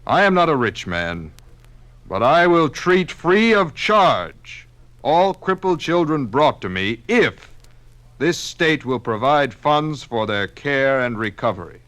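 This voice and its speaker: Slow, solemn 1890s doctor. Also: male voice, medium pitch, very animated, fairly bright tone. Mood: solemn, pleading. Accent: American. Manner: solemn, deliberate oratory. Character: grave, compassionate 1890s doctor making a plea. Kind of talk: 1950s radio drama acting.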